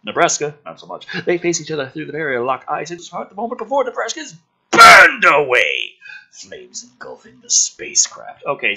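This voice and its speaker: A man with a raspy voice reading a script aloud dramatically, mixed with casual, sardonic, sweary commentary. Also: medium pitch, bright, forceful tone, rough recording. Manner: sardonic, sweary, exasperated asides. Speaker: host reading and critiquing a film script draft.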